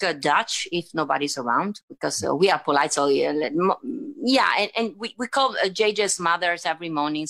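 A woman from Italy with an Italian accent, telling stories as an interview guest in a chatty, animated way with frequent restarts. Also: lowish voice, creaky. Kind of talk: casual conversational storytelling.

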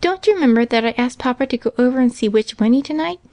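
A storybook little girl, played by a woman reader, pitched well up above an adult voice. She is eager and sweetly bold, always coaxing, in a close, dry sound. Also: animated, fast, darkish tone, dry recording. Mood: excited coaxing. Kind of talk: storybook character voice.

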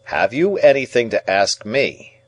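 A man's voice: medium pitch, very animated, a little slow, voice pushed up. A man voicing a domineering, shrewd middle-aged lawyer in an audiobook, with an emphatic, interrogating and pedantic delivery. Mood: curt, triumphant. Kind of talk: audiobook character dialogue.

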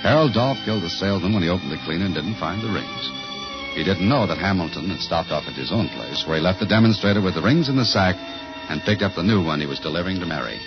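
A tough American husband hunting a killer in an old radio thriller. His voice is low and hard-boiled, clipped and deadpan yet widely inflected. The recording is muffled, with music behind. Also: fast talker. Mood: cool accusing. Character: tough, cool husband turned detective, accusing a killer. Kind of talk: 1950s radio thriller acting.

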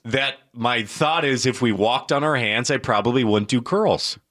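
A man co-hosting a morning radio show, a former athlete who makes wry, deadpan jokes. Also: mid-range voice, normal speed. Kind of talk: casual morning radio banter.

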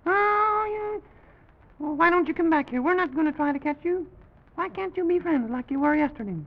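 A man voicing a fussy, flustered little toy-land president for a children's story show, rambling in folksy exclamations. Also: moderate pace. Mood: fretful. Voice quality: high, creaky. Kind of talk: old-time children's story show character acting. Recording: dull, lo-fi vintage broadcast recording.

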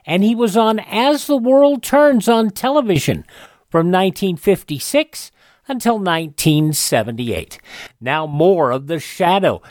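A man with a high-pitched voice hosts a classic radio show. He narrates informally at a close microphone, and the sound is very dry. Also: no echo.

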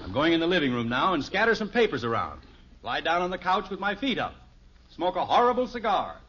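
A man's voice: a little high, big pitch swings, a little fast, bright, forceful tone, slight background noise. A man playing an exasperated, stubborn middle-aged father in a 1950s radio sitcom, grumbling with folksy, emphatic sarcasm. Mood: stubborn, self-satisfied. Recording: dull 1950s radio broadcast recording.

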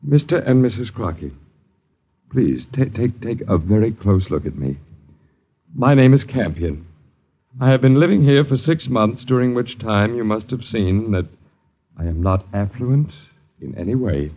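A man's voice: on the low side, animated, unhurried, mellow, slightly rough, dull audio, exaggerated voice. An old band-limited radio recording of a down-at-heel lodger. He speaks politely and precisely, with wordy formality, in the manner of 1950s radio drama. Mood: dryly indignant.

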